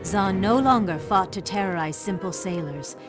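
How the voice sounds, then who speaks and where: A woman giving measured, solemn scripted narration for a fantasy game's story scenes. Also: medium pitch, over music.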